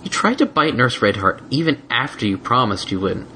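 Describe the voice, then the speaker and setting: A man's voice: mid-range voice, animated, normal speed, bright, forceful tone, slight background noise, low-quality recording. A man voicing a teasing, motherly young female pony in a light, coaxing, playful way for a storybook reading. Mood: fond coaxing.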